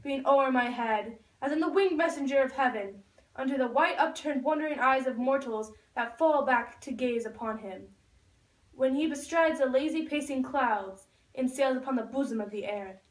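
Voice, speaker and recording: A lovestruck young man in a puppet play , voiced by a woman, high in pitch, declaims ardent, poetic verse. The voice is recorded in a very echoey room, well away from the microphone. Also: normal speed, natural voice. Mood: adoring. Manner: declaiming verse, reciting from a script. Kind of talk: Shakespeare recited for a school puppet show. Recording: echoey room, far from the mic.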